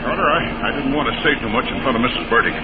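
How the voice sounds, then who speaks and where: Guy playing nervy insinuating fella, old radio drama, talks fast, muffled recording. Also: medium pitch, close to an everyday voice. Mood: agitated. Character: smooth-talking, insinuating male acquaintance warning of danger.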